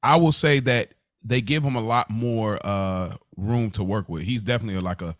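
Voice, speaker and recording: A man's voice: fairly low pitch, moderate pace, no room sound. American man in casual, dry-sounding chat. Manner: informal, slangy. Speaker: podcast co-host.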